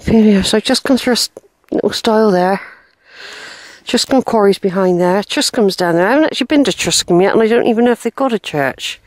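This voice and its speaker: A woman's voice: medium pitch, fast talker, no echo. A woman, short of breath and wheezy, chatting casually to camera as she walks outdoors on a grassy hillside. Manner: chatty, rambling, talks to herself. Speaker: walking vlogger. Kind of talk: casual walking commentary to camera. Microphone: handheld camera, unseen narrator.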